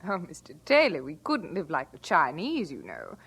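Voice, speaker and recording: A woman's voice: low voice, wildly swooping pitch, normal speed, a bit bright, slightly rough, full character voice. An actress playing a fussy, comfort-loving missionary lady in an audio drama, protesting in a genteel way. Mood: indignant.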